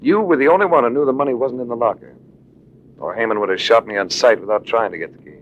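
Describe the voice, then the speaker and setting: An actor playing a shrewd, self-assured adventurer-investigator with a dry, needling manner in a late-1940s radio drama. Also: male voice, mid-range voice, fast, phone-quality sound, natural voice. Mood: cool accusing. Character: shrewd, sardonic male adventurer-investigator accusing suspects. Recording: noisy vintage radio recording.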